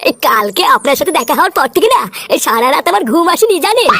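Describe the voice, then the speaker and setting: Casting: excitable, smitten old grandad for cartoon comedy, squeaky processed voice, swooping intonation. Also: fast talker, no room sound, exaggerated voice. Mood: flustered, smitten. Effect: pitched-up cartoon voice. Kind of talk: exaggerated Bengali cartoon comedy dialogue.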